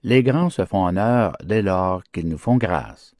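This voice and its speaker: A man narrating fables as an audiobook, with declamatory, theatrical phrasing and an expressive literary delivery. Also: deep voice, slow pace, no room sound, clean studio sound.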